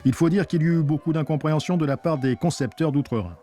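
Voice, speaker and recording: A man's voice: on the high side, fast, dark tone. A man reading scripted documentary narration as the narrator. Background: music.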